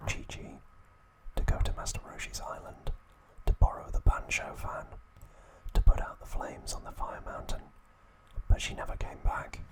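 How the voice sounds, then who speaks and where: A man narrating scripted trivia as an ASMR host in a soft, breathy, unhurried whisper. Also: poor audio.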